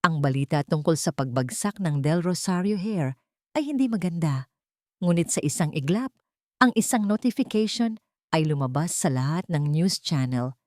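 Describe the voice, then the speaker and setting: A woman's voice: deep voice, lively intonation, normal speed, no echo, clean studio sound. A woman narrating a dramatic scripted love story as a storyteller.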